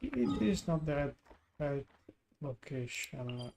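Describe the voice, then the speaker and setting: A man live-streaming a game with casual commentary, muttering to himself in halting phrases. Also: on the high side, sing-song, vocal fry. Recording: game music playing behind. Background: video game music.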